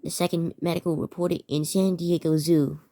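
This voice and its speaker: A woman narrating amateur scripted emergency news bulletins in a halting way, often stumbling over and repeating words. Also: on the low side, level tone, dark tone. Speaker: narrator of a fictional emergency alert scenario.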